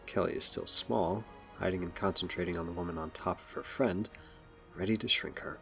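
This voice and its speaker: Male narrator, deep pitch, scripted story narration, faint background music. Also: fairly quick, gravelly, fairly bright tone.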